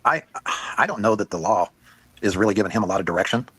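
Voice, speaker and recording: A man's voice: lowish voice, expressive, fast, a bit bright, breathy edge, low-quality recording. A man talking as a panelist in a casual online discussion.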